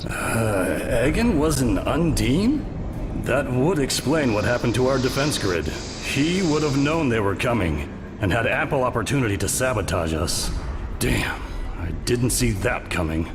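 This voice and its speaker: An actor playing an earnest, alarmed starship officer in a dramatic sci-fi game. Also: male voice, mid-range voice, very animated, measured pace, noisy background. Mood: shaken, reflective.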